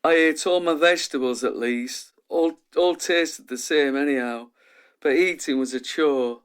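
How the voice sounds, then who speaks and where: Troubled young guy being interviewed, self-deprecating, flat-out matter of fact, lightish higher voice. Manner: downbeat, matter-of-fact, colloquial. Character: troubled, self-deprecating 26-year-old male trial patient. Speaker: actor in a radio play. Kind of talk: radio drama monologue, interview-like.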